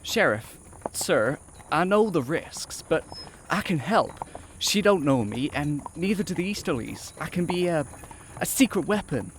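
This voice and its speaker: A man's voice: on the high side, very animated, measured pace, a little hiss or hum. An actor playing an eager, polite young frontier man in an audio drama, hesitant yet determined. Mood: insistent. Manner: polite, hesitant but insistent. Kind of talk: western audio drama acting.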